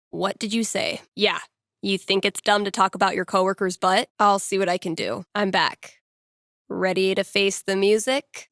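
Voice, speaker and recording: A woman's voice: mid-range voice, no room sound, close to an everyday voice. A woman playing a sardonic, candid young female radio DJ in a video game, talking in a dry, casual, conversational way. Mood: playfully deadpan. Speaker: radio host.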